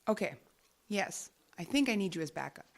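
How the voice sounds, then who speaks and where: An actress playing a guarded, businesslike investigator in an audio drama, matter-of-fact and evasive. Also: female voice, medium pitch, lively intonation, moderate pace, lightly acted. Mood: businesslike, urgent. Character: guarded, businesslike female investigator working undercover.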